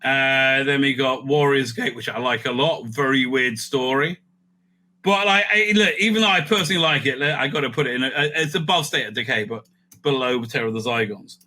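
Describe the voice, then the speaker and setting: A man's voice: mid-range voice, moderate pace, sharp tone. A man hosting a casual, off-the-cuff ranking video, rambling and interrupting himself as he thinks aloud. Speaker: video host ranking TV stories.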